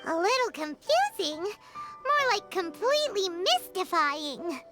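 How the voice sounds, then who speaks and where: A woman voicing a small, childlike fairy companion in a video game, chirpy and easily flustered, with a squeaky voice and exaggerated delivery. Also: big pitch swings, slow, music in the background, exaggerated voice. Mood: puzzled. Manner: refers to self in third person, exaggerated delivery.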